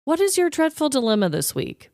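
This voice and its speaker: Female co-host, higher register, crisp and close-recorded, playful, sweary comedic chat with big reactions. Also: wildly swooping pitch, a little fast, darkish tone, dry recording. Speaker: podcast co-host. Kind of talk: casual comedic banter.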